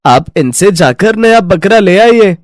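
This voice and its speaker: A man's voice: high voice, lively intonation, a little slow, no echo, studio quality. A man voicing a polite, dutiful ten-year-old boy in a children's cartoon story, with an earnest, pleading manner. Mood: eager, tender. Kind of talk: children's animated moral story acting.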